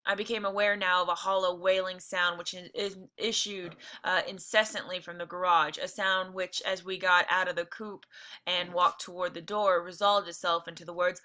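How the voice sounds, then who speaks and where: A woman's voice: fairly low pitch, little pitch movement, moderate pace, sharp tone. A woman teacher reading a novel aloud expressively, breaking off for informal explanatory asides. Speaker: teacher reading along with listeners.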